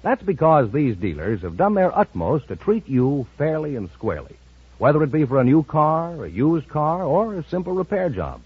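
A man's voice: medium pitch, slightly rough, no room sound. An American man announcing on 1950s radio, with a formal showman's delivery of introductions and sponsor copy. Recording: dull old radio recording.

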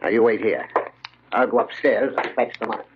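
An actor playing a sly, miserly shop dealer in a 1940s style radio drama, deliberate, smug and condescending. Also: male voice, fairly low pitch, background noise, natural voice. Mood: shrewd, haggling. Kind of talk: 1940s radio horror acting. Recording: dull, lo-fi old radio recording.

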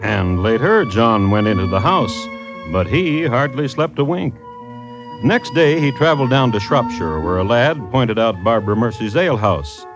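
A man's voice: medium pitch, expressive, normal speed, close to an everyday voice. A man narrating a scripted old-time radio drama as a storyteller, with a wry, literary manner. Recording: muffled vintage radio recording. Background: music.

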